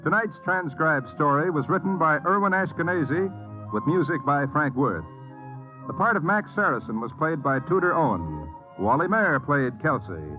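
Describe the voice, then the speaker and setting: A male announcer reads show credits in old-time radio style. His voice is fairly high, on a very thin, narrow recording, with music playing clearly behind him. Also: sing-song, moderate pace.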